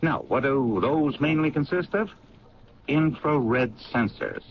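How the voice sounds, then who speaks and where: An actor playing an eager police lab sergeant who explains his theory with halting enthusiasm in a 1970s radio drama. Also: mid-range voice, lively intonation. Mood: explaining. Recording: dull lo-fi radio broadcast with faint hiss.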